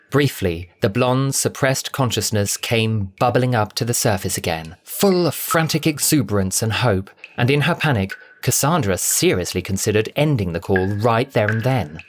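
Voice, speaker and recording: Animated male story narrator. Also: mid-range voice, normal speed. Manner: theatrical, expressive. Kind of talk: dramatic scripted story narration.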